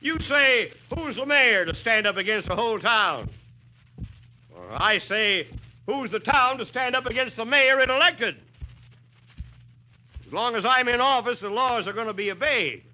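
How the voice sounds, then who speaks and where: A stubborn small-town American mayor, a man with a high-pitched, very sharp and forceful voice. He speaks plainly and wryly, like a folksy orator in an old 1940s radio play. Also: exaggerated voice, low-quality recording. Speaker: radio drama actor.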